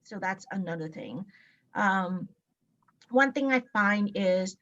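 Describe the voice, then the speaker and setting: A woman from Laos speaking on a webinar panel, pausing often with ums and restarting her sentences. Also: medium pitch, lively intonation, a bit bright, dull audio. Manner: hesitant, many ums and restarts. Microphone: video call.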